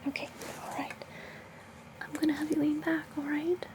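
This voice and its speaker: A woman doing an ASMR roleplay as a gentle guide, speaking in a soothing, reassuring, breathy whisper with unhurried pauses. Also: high voice, flat delivery, background noise. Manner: soothing, reassuring personal attention; slow guided-relaxation delivery with pauses. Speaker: ASMR host playing a space travel guide.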